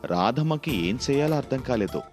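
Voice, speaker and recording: A man's voice: medium pitch, expressive, moderate pace, faint music behind. A man narrating a scripted moral story as a storybook narrator.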